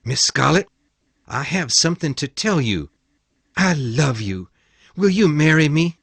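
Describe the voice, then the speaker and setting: A woman's voice: deep voice, big pitch swings, measured pace, gravelly, husky, no room sound, full character voice. A woman voicing an earnest, breathless young man, eager and sincere.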